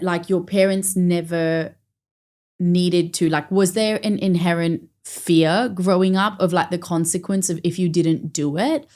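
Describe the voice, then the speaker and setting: A woman's voice: lowish voice, mellow. A woman hosting a studio podcast, reading a scripted intro and then asking curious, conversational questions. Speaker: podcast host. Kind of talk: podcast interview.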